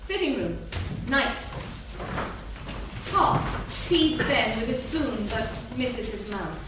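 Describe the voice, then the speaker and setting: A woman narrates a script's stage directions at a live reading. Her voice is a bit high. She sounds far from the mic, in a very echoey theatre. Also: very animated, slow. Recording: distant, noisy, echoey theatre recording.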